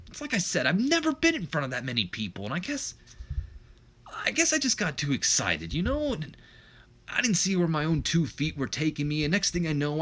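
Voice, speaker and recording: A man voicing an earnest, pleading older brother wrestler who rambles apologetically in a storyline scene. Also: medium pitch. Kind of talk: acted wrestling storyline dialogue.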